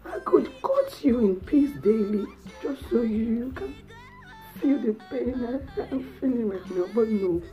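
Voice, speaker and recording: A woman with a Nigerian accent playing a betrayed, heartbroken wife in a dramatic monologue, speaking deliberately with heavy pauses. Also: a little high, animated, faint music behind. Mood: menacing, tearful. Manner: deliberate, heavy pauses, swinging from hushed to anguished. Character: betrayed, heartbroken wife confronting her deceitful bigamist husband. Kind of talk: dramatic solo acting monologue.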